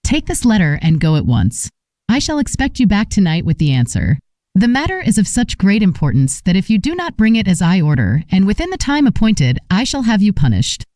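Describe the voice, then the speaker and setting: A woman's voice: mid-range voice, wildly swooping pitch, a little fast, dark tone, no room sound. A woman voicing a stern, imperious male wizard master in a formal storybook reading. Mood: commanding.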